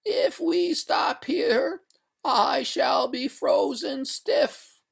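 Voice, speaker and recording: A narrator voicing a genteel, haughty female water ghost in a storybook, pleading in drawn-out, breathy, melodramatic wails. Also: high-pitched, voice pushed up, exaggerated voice. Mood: desperate pleading.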